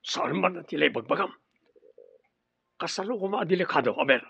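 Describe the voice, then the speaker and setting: A man's voice: fairly high pitch, expressive, moderate pace, sharp tone, no room sound, highs cut off, full character voice. A man with an Ilocano accent playing a domineering, irritable and two-faced crime boss uncle in a radio drama. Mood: sly, curt. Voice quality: gravelly. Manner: domineering, curt.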